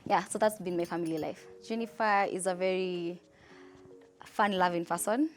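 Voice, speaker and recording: A young Kenyan woman with a Kenyan accent, telling her life story in a chatty, animated interview. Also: lowish voice, moderate pace, faint music behind. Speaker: entrepreneur.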